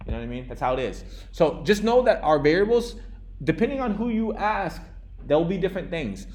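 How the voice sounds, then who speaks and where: A man's voice: on the high side, big pitch swings, brisk, a little mellow. A young man teaching a live classroom coding lesson, talking casually with lots of restarts and checks like "okay?" and "right?". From United States. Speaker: coding instructor.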